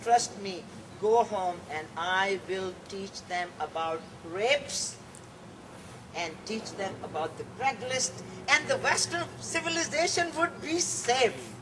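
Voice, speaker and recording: Slow, theatrical Pakistani woman, distant mic. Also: mid-range voice, lively intonation. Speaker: performer. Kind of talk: theatrical satirical monologue. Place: live venue, cafe. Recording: distant mic, clear background noise.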